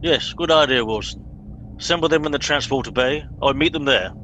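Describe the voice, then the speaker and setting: A man's voice: mid-range voice, brisk, sharp tone, a little hiss or hum, close to an everyday voice, poor audio. A man playing a pompous, officious and easily exasperated captain in a comic radio play. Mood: brisk authority. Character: pompous, impatient male captain of bumbling crew.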